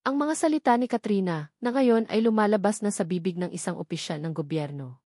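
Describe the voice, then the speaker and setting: A woman's voice: mid-range voice, brisk, warm tone, no room sound. Filipino woman narrating in a dry, close sound. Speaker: story narrator. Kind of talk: dramatic scripted narration of a romance story.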